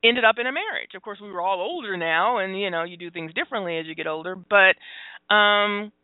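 A woman in her forties hosting a phone-in radio talk show, talking openly about her own life with many pauses, fillers and laughs. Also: mid-range voice, animated, dry recording. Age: 40s. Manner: open and confessional, halting with fillers, laughs often. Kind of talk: candid phone-in radio chat. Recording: dry, tinny phone-like sound.

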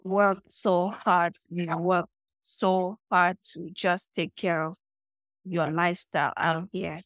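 A middle-aged woman with a Cameroonian accent tells personal stories in a lively, animated way, slipping into the voices of the people she quotes. Her voice sits on the low side. The sound is dry and close, with no room echo. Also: takes it slowly. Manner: animated storytelling, acts out quoted dialogue. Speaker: guest. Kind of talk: conversational interview.

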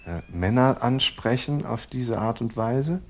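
An actor playing a stiff, aggrieved husband in couples therapy, halting and defensive, in a naturalistic German audio drama. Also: male voice, medium pitch, lively intonation. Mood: stiff, reluctant. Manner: halting, defensive, repeating himself.

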